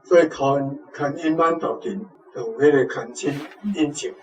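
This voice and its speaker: Higher-voiced Taiwanese man teaching over background noise. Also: normal speed, lo-fi sound. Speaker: teacher giving on-site guidance. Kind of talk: informal explanatory language lesson. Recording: clear background noise, room ambience.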